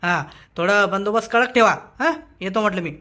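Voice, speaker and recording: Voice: mid-range voice, noisy background, close to an everyday voice. An actor playing an eager, nervous gang informant in a comic cartoon, repeating things and making defensive excuses. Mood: obliging. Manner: hurried, repetitive, defensive. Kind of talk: comic Marathi cartoon dialogue.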